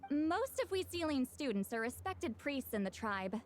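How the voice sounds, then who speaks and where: A woman's voice: high voice, big pitch swings, normal speed, faint music behind. A woman voicing a proud, short-tempered young female shaman in a video game, with a boastful, brusque manner. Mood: smug, boastful.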